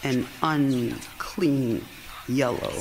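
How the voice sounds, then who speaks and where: An actress playing an anxious, exhausted young wife and mother in period radio theater, halting and intense, dropping into hushed tones. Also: very deep voice, vocal fry, slight background noise, heavily acted. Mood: disgusted, secretive. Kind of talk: period radio theater acting, monologue and dialogue. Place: theater stage, live performance.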